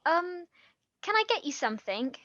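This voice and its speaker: Flustered female writer, eager, halting, forceful bright tone, close dry lo-fi recording, stage play. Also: very high voice, very animated, no room sound, full character voice. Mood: nervous, eager. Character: nervous, eager female aspiring writer hiding her past. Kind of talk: naturalistic stage play acting.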